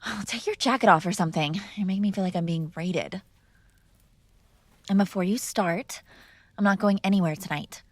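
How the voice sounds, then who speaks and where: A woman's voice: medium pitch, normal speed, long pauses, no room sound, natural voice. A woman playing a mob boss's spoiled, defiant daughter in an intimate ASMR roleplay, teasing and sarcastic in a conversational way, close to the microphone. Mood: wry, teasing. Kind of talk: ASMR girlfriend roleplay, intimate one-sided dialogue.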